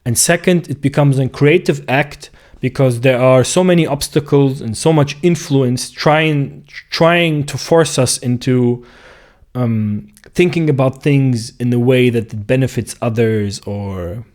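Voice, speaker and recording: A man, a clinical psychologist talking in a slow, reflective monologue and searching for words as he goes. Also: mid-range voice, a little mellow. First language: German. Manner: thoughtful, searching for words with restarts.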